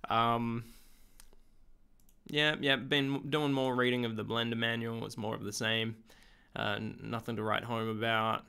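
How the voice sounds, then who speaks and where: Slightly hoarse man, rambling. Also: medium pitch, measured pace. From Australia. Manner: relaxed, rambling, with many ums and uhs. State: slightly hoarse, getting over an illness. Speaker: vlogger. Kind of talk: casual video diary.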